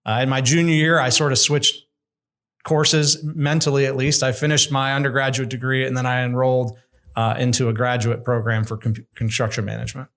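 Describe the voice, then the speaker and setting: A middle-aged man, a construction executive, speaking in a measured, candid and thoughtful way as a guest in an interview. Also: medium pitch, level tone, fairly bright tone. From United States. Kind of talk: conversational interview.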